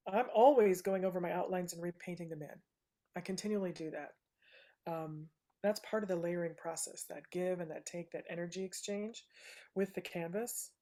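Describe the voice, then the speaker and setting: A woman with a soothing, low voice gently walking through a live painting demonstration, often checking in with "right?". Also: moderate pace. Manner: soft-spoken, gentle, says "right?" often. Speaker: painting instructor.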